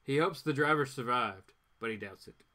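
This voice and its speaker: A man doing a casual dramatic reading as host, adding slangy, wry asides in an animated way. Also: mid-range voice, normal speed. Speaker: host reading comic books aloud.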